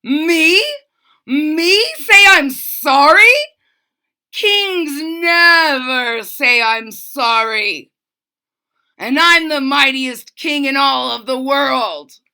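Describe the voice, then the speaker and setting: Brief: a woman reading as a blustering old king, loud and slow. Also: high voice, smooth, exaggerated voice. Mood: indignant. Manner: loud groaning and bellowing.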